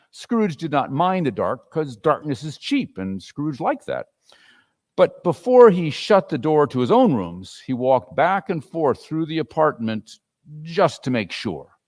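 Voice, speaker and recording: A man narrating a classic story as a dramatic reading, with expressive, theatrical delivery. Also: a little high. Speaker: narrator and performer. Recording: reverberant hall.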